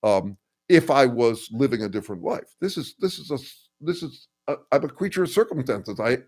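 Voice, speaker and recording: An older man hosting a casual livestream, thinking aloud with many ums, uhs and restarts as he answers viewers, and describing his own voice as a bass. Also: expressive, a little mellow, husky. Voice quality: says he has a bass voice. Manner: thoughtful, halting, full of ums and restarts. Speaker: host answering viewer comments.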